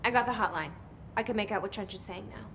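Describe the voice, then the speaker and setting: Woman acting young female game heroine, medium pitch, guarded, matter-of-fact, determined, faint background noise. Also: fast, a bit bright, close to an everyday voice. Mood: focused.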